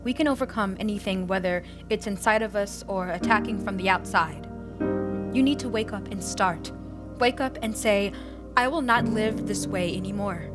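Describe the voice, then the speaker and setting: A woman's voice: mid-range voice, moderate pace, lightly acted. An actress playing a resolute, hopeful woman giving an earnest, emphatic testimonial in an audio drama. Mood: determined, hopeful. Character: resolute woman urging hope and change. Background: prominent music.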